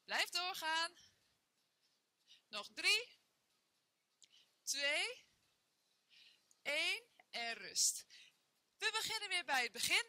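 A woman's voice: extremely high pitch, very animated, very slow, pauses often, pushed and bright, no room sound. A young woman leading a workout class, counting reps and cheering on her students while she exercises along in a bare indoor room. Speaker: fitness trainer. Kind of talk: guided workout instruction.

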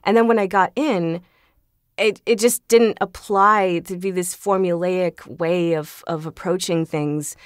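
A woman's voice: fairly low pitch, fairly even intonation, unhurried, smooth, no room sound, studio quality. A woman speaking casually as an interview guest, chatty and earnest, often with a chuckle in her talk. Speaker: podcast guest, voice actor.

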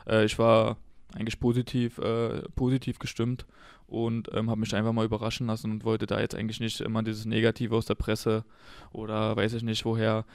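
A man's voice: lowish voice, monotone, fairly quick, creaky, dry recording, clean studio sound. A man from Berlin chatting casually and informally as a guest in an interview. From Berlin, Germany. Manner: informal, colloquial German. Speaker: podcast guest, former footballer.

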